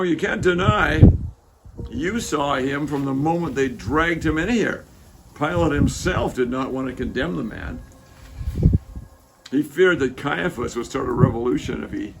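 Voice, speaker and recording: A man playing a reflective, doubting Roman soldier who is proud of his rank, speaking in a measured, halting way in a dramatic reading. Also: medium pitch, gravelly, noisy background. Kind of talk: dramatic reading of a church passion play.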